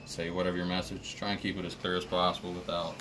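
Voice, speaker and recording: Very low-voiced man, distant in a noisy room. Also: completely flat delivery, quick pace. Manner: plain, practical, explaining step by step. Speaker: installer explaining a system to staff. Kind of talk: informal hands-on equipment walkthrough.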